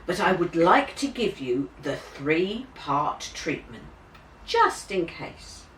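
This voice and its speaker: A woman's voice: on the low side, very animated, slow, fairly bright tone, full character voice. A woman voicing a jolly, reassuring school nurse with a soothing, exaggerated delivery for a storybook. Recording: roomy, distant mic, faint hiss.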